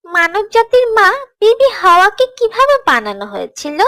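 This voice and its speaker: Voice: extremely high pitch, huge pitch swings, normal speed, sharp tone, dry recording, clean studio sound, full character voice. A performer voicing an eager, polite young child pupil in a scripted children's cartoon. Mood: curious, polite.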